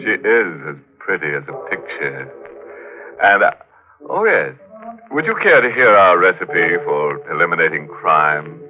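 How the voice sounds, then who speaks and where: Low, slow, sly mystery host. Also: male voice, sing-song. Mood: sly, teasing. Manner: wry, teasing, deliberate, pun-loving. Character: droll, sly, macabre male host of mystery tales. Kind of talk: 1940s radio acting. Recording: narrow-band old radio, music behind.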